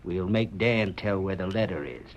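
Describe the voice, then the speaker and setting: Man doing a deep-ish calculating western outlaw, flat plotting tone, dull 1930s radio. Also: moderate pace, slight background noise, natural voice. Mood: scheming. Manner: cold, matter-of-fact plotting. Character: calculating low-voiced male outlaw. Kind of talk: 1930s radio drama acting.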